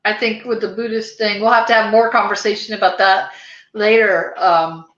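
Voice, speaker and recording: A woman's voice: medium pitch, fairly even intonation, moderate pace, fairly bright tone. An American woman hosting a podcast in casual, chatty, rambling conversation. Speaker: podcast host. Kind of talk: casual chat. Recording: roomy echo, dull lo-fi sound.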